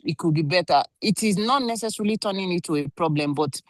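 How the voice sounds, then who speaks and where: A woman joining an online workshop to make a polite, measured comment about her experience at work. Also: lowish voice, animated, dry recording, clean studio sound. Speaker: workshop participant.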